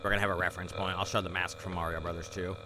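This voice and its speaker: A man's voice: deep voice, little pitch movement, very fast, background noise. A man hosting a livestream, giving casual, rambling reaction commentary in a blunt, sarcastic, sweary manner. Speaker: livestream host.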